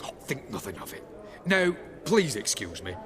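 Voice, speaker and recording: A man's voice: medium pitch, very animated, normal speed, a crowd in the background, lightly acted. A man playing an affected, courteous art connoisseur in a fantasy game, with florid, genteel and slightly condescending politeness. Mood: confiding, courteous.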